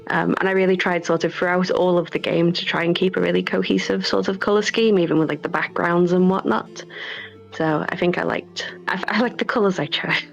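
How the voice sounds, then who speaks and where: A woman talks informally about her creative work in an interview, working out her answers aloud. Her voice sits a little low with plenty of vocal fry. The sound is a bit dull, with soft music underneath. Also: fairly even intonation, a little fast. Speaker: game developer.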